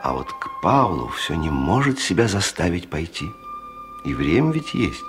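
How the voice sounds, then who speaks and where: An actor playing a grave, reflective inner voice in a radio play, measured and gently reproachful. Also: male voice, low voice, very animated. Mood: musing. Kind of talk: theatrical radio play monologue. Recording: music under the voice. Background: music.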